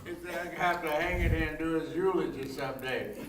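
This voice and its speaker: A man's voice: on the high side, fairly quick. An older man hosting a forum, making informal announcements and repeating phrases for emphasis. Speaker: forum host and reverend. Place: large echoing indoor venue, public forum. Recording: echoey room, distant microphone, faint noise.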